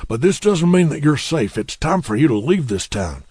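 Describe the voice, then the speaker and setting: A man's voice: a little high, very animated, moderate pace, a little mellow, husky, no echo, voice pushed up. A man voicing a menacing, blunt middle-aged townsman with a bat in an audiobook.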